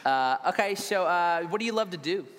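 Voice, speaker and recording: An energetic, joking man, a pastor, interviewing a colleague on stage with loud emphatic bursts. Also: high-pitched, normal speed. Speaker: lead pastor. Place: large church hall. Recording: reverberant hall, faint noise.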